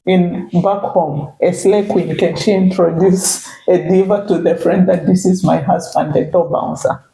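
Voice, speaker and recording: A woman's voice: on the low side, steady tone, measured pace, creaky, dark tone. A middle-aged Zambian woman, a native Bemba speaker with a Zambian accent, chatting reflectively as an interview guest and circling her points with "you know". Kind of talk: casual interview chat. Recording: echoey room.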